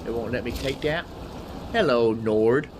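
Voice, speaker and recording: A man's voice: mid-range voice, unhurried, background noise. A man giving casual gameplay commentary, muttering asides and questions to himself. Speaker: gaming video host.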